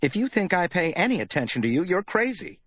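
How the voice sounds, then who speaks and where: A woman's voice: on the low side, very animated, brisk, dry recording, voice pushed up. A man reading an audiobook, voicing a worried young woman secretary in a raised, anxious, tart voice. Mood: teasing.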